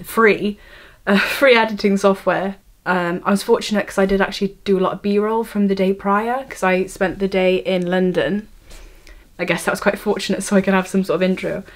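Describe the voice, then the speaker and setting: Nervous Welsh teen woman vlogging at home. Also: medium pitch. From Wales. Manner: nervous, self-deprecating, halting with restarts. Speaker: student vlogger. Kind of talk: casual vlog to camera. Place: her bedroom. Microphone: camera on a tripod.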